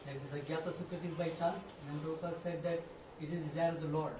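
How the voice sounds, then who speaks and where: A man in the audience speaking quietly and fast, adding a point. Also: a little high, steady tone, dull audio. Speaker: audience member. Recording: distant in an echoey room, noisy.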